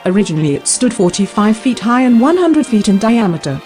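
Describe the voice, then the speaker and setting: A woman giving scripted documentary narration in a clear, explanatory way. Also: fairly high pitch, big pitch swings, fairly quick, a little mellow, soft background music.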